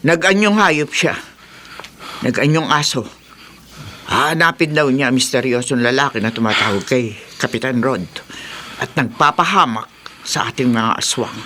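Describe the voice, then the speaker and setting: A man plays a scheming old shapeshifting grandparent in a Filipino horror radio drama. The voice is bright and tense, deliberate and conspiratorial. A little noise sits in the recording. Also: medium pitch, natural voice.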